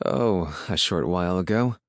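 A man voicing a calm, sensible country husband in an audiobook, with a wry, reasoning, mildly folksy manner. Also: low-pitched, unhurried, no echo, studio quality. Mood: guarded, casual. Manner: reasoning, dryly challenging, folksy. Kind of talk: audiobook character dialogue.

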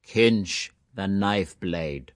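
A man's voice: sits low, no room sound, studio quality. A man voicing a mocking, theatrical young man who drags out his words in mock-grand style. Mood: boisterous. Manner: exaggerated, drawn-out mock-grand delivery.

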